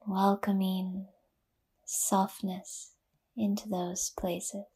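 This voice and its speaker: A woman leading a guided yoga nidra meditation in a soft, breathy half-whisper, gently and with long pauses. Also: medium pitch, flat delivery.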